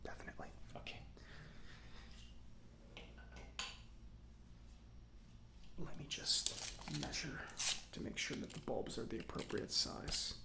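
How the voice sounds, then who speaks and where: A man playing a chatty, self-satisfied robot mechanic in a soft-spoken ASMR roleplay, breathy and often near a whisper, musing slowly with pauses. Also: mid-range voice. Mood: focused, pleased. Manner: unhurried, hesitant musing with pauses.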